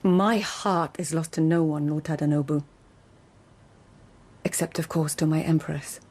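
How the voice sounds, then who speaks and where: A woman's voice: low voice, fairly even intonation, a little slow, darkish tone. A woman playing a shrewd, witty lady-in-waiting at an imperial court in a radio drama, speaking in a poised, articulate, formal way. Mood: guarded, composed. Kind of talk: 2000s radio drama acting.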